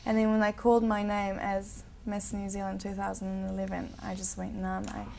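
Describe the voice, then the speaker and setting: Bubbly young woman with a gravelly edge. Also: medium pitch, completely flat delivery, a little fast, warm tone, a little hiss or hum. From South Africa. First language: Afrikaans. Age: 20s. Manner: bubbly, earnest, chatty. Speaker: interview guest, pageant titleholder. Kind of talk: casual interview chat.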